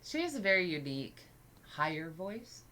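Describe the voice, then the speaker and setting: Low-voiced woman, bright and crisp. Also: big pitch swings, moderate pace. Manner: knowledgeable, explains background. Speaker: co-host reacting to music. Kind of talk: casual chat.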